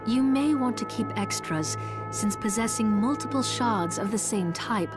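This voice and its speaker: A woman's voice: a little high, animated, a little slow. A woman playing a composed, courteous but firm church merchant in a video game. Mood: businesslike. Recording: loud music behind the voice.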